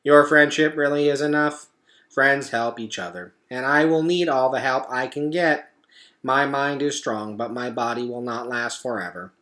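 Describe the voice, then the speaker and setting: A man voicing a gentle, earnest, selfless robot from a storybook, speaking in a measured, formal and plain way. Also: medium pitch, close to an everyday voice.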